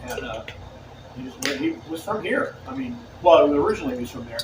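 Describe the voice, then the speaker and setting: A man's voice: fairly high pitch, very animated, fairly quick. A man in casual chat, telling stories in an easygoing, chatty way. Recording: roomy, distant and noisy.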